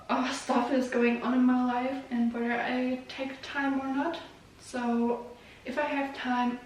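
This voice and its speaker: A very reverberant, distant recording of a young woman with a fairly high voice, a native Russian speaker raised in Germany. She chats informally to her viewers in a self-deprecating, vlog-style way, with a little noise in the room. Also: darkish tone. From Germany, moved there when very young. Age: young adult. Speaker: YouTube vlogger. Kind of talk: casual chat to viewers. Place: echoey indoor room. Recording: very echoey room, distant mic, faint noise.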